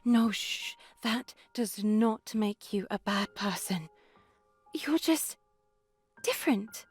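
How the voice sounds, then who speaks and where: A woman playing a kind young nun in a visual novel game, soothing and maternal, with gentle hushing. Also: mid-range voice, expressive, takes it slowly, husky, soft background music.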